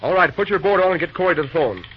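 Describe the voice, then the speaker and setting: A man playing a brisk, busy railroad dispatcher in an old-time radio drama, talking in clipped, folksy railroad slang. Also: high-pitched, expressive. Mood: brisk, irritated. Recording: muffled, noisy old radio recording.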